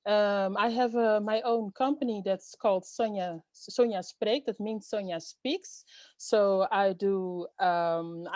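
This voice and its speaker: A middle-aged woman speaks earnestly in an interview, often pausing with fillers as she gathers her thoughts. Her voice sits at an ordinary pitch and sounds dry and close, with no room around it. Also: a little mellow, no echo. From Netherlands. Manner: earnest, hesitant with many fillers. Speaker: guest, storyteller and event host. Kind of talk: interview answers.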